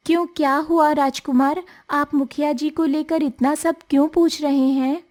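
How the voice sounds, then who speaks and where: Casting: woman to play meek, courteous young village girl for animated folk tale; very high, earnest voice. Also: moderate pace, natural voice. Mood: polite, earnest. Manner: polite, deferential, earnest. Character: gentle, apologetic young village girl shunned as unlucky. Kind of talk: Hindi animated moral-story acting.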